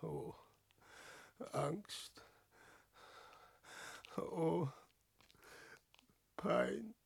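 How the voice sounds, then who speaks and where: An older actor playing an old ruined wanderer, anguished and self-justifying, declaiming in a gravelly, husky voice in a German radio drama. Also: male voice, medium pitch, expressive, a bit bright, full character voice. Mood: broken, anguished. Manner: declamatory, drawn-out delivery. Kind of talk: theatrical German radio drama acting in verse.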